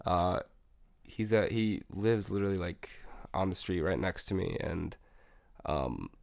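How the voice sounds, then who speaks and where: A man's voice: low voice, lively intonation, unhurried, vocal fry, darkish tone, dry recording, studio quality. A young man, a music producer, chatting casually as an interview guest with a laid-back manner and many fillers. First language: Spanish.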